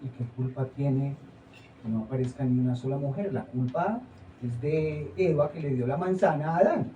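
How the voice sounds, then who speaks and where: A man's voice: medium pitch, normal speed, mellow, lo-fi sound, a little hiss or hum, close to an everyday voice. A man acting in a live stage play, stubbornly brushing off the questions put to him. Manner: stubborn, dismissive. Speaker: stage actor. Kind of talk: live theater performance. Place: small indoor theater. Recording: roomy, distant stage recording.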